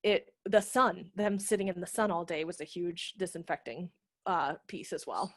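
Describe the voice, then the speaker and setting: A woman's voice: lowish voice, moderate pace, fairly bright tone, no echo. A woman in her thirties explaining informally as a guest speaker on a video call, through a headset microphone. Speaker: guest speaker, theatre managing director. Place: room at home.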